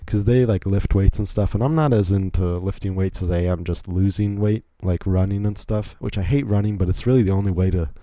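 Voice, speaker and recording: A man's voice: sits low, fairly quick, hardly any pauses, dark tone, no echo. A young man from Virginia hosting a solo podcast, rambling casually with frequent restarts and self-deprecating asides. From Virginia, USA. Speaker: podcast host.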